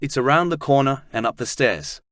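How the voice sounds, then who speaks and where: A man's voice: mid-range voice, expressive, brisk, a bit bright, dry recording, clean studio sound, voice pushed up, natural voice. A man reading an audiobook, voicing a grinning, chatty, folksy mailman in a raised voice. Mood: friendly.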